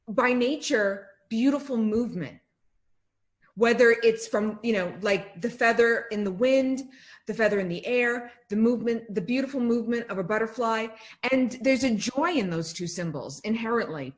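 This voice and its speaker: A woman curator interviewing an artist over a video call, speaking effusively about the artwork. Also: a little high. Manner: effusive, enthusiastic. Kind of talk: interview talk.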